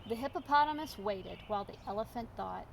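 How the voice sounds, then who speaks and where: A woman's voice: mid-range voice, expressive, brisk, gravelly, a little hiss or hum. A woman reading a storybook aloud as narrator. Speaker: storybook reader.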